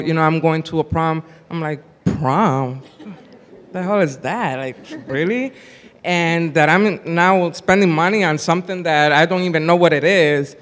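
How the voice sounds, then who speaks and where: Steady, smooth woman. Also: low-pitched. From Puerto Rico. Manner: steady, plain-spoken, wry asides. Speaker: panelist, candidate for office. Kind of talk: personal testimony on a panel. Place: large hall, panel on stage. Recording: reverberant hall.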